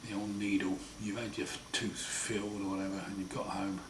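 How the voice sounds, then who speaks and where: A man with a croaky, hoarse voice after throat surgery, talking slowly and wryly in a casual home video diary. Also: sits low, flat delivery. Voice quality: hoarse, partly breathy. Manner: slow, wry, plain-spoken, often pausing. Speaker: patient sharing his recovery. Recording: roomy echo, far mic, noisy.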